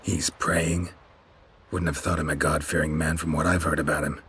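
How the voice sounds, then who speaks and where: An actor playing a terse, deadpan wandering swordsman in a fantasy video game. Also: very deep voice, moderate pace, husky, exaggerated voice. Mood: dry, calm. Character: gruff, laconic male wandering swordsman, dryly menacing. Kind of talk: video game dialogue acting.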